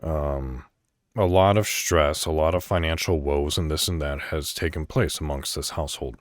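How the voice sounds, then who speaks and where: A man's voice: a bass voice, moderate pace, dry recording, clean studio sound. An American man hosting a solo podcast, talking casually and candidly as he rambles and thinks aloud. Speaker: podcast host.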